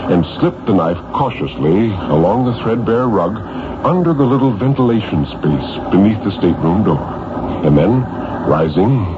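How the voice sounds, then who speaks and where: A low-voiced man narrates in the suspenseful, theatrical manner of a 1950s radio horror reader. The recording is muffled, with clear noise over it. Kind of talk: dramatic 1950s radio story reading.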